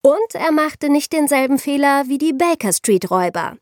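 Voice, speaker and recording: A woman hosting a podcast, telling true-crime stories in a conversational way and addressing listeners directly, like an animated storyteller. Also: high-pitched, moderate pace, no echo, studio quality. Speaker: podcast host.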